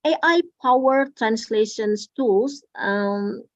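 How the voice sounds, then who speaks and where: A woman answering questions at an online workshop, speaking haltingly with many fillers and restarts. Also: a little high, dry recording, muffled recording. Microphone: video call.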